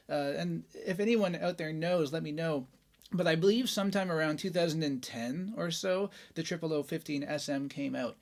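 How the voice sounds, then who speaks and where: A man hosting a guitar show, talking casually and enthusiastically in a chatty, informal way about gear. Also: on the high side. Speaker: host of a guitar review show. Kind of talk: casual gear talk and demonstration.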